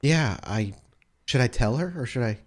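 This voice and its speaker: Man playing a timid, halting young girl. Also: mid-range voice, expressive, pitched above the performer's own voice, close to an everyday voice. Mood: anxious, grateful. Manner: halting, stammering, soft-hearted. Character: timid, vulnerable young girl, grateful and anxious.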